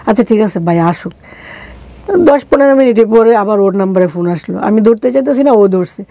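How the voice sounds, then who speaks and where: A woman's voice: medium pitch, lively intonation, a little fast, warm tone, dry recording. A middle-aged woman with a Bangladeshi accent telling a personal story conversationally as an interview guest, speaking colloquially and acting out quoted dialogue.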